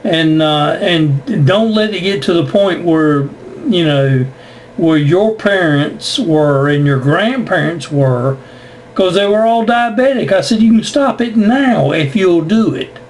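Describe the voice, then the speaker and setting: Slow-talking man on ham radio. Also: on the high side, animated, poor audio. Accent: American. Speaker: amateur radio operator. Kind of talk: casual on-air radio chat. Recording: ham radio contact with faint noise.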